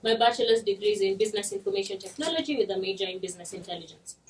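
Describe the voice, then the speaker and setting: A young woman from Kenya, explaining a student project to an audience in a steady way. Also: mid-range voice, very fast, slight background noise. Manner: explanatory, steady, uses "basically" as a filler. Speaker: student presenter. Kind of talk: presentation. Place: lecture room. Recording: roomy echo, distant mic.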